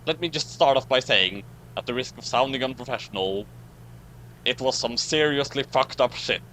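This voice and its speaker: A man playing a formal, weary starship captain in a fan story reading, measured and solemn. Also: medium pitch, bright, forceful tone, close to an everyday voice. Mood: formal, dry. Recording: noisy amateur recording with hum.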